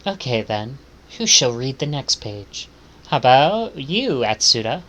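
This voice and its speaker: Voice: medium pitch, very animated, measured pace, fairly bright tone, muffled recording. A streamer reading visual novel text aloud and adding casual commentary.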